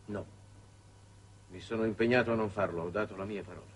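A man's voice: fairly low pitch, steady tone, fast talker, natural voice. A middle-aged man playing a weary, conscience-torn government envoy, grave and formal, in 1960s Italian television drama. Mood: grave, firm. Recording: noisy old 1960s television soundtrack.

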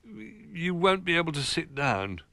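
A man's voice: a little high, big pitch swings, a little slow, vocal fry, sharp tone, no room sound, pitched above the performer's own voice, full character voice. A man voicing a nervous, conscientious male toy rabbit in a storybook, hesitant and fretful. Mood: miserable.

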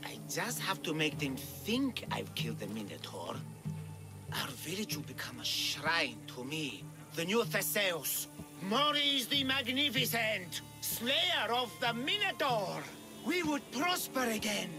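High-voiced, hapless would-be hero. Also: male voice, measured pace, over music, heavily acted. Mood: hopeful, scheming. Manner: theatrical, excitable, self-pitying, bumbling. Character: hapless, melodramatic, cowardly male villager posing as Minotaur slayer. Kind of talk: video game character acting.

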